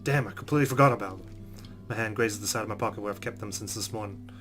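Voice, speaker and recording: A man hosting a let's play, reading visual novel narration and inner thoughts aloud in a dramatic, expressive way. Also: on the low side, racing, soft background music. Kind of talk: expressive read-aloud game narration with reactions.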